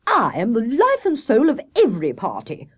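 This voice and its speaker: Woman acting a vain, wailing storybook hare, high-pitched. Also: huge pitch swings, moderate pace, husky, exaggerated voice. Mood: indignant. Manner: theatrical, wailing, indignant. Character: vain, melodramatic, excitable male hare. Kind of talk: children's storybook character voice.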